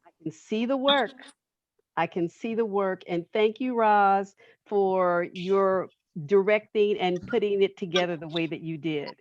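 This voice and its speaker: Slow, deliberate woman on roomy, dull audio. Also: medium pitch. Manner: deliberate, repeats phrases for emphasis. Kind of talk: earnest spoken congratulations. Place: video call.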